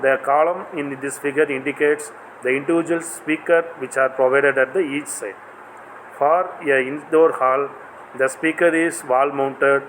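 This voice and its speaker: A man's voice: medium pitch, fairly bright tone, a little hiss or hum, poor audio. A man with a South Indian accent giving a scripted technical lecture in a formal, read-aloud way. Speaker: assistant professor giving a video lecture.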